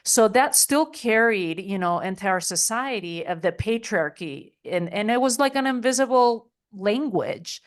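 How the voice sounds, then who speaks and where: A woman's voice: mid-range voice, lively intonation, unhurried, few pauses. A middle-aged woman from Spain, a podcast guest talking in a chatty way with many "like" and "you know" fillers. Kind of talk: interview conversation.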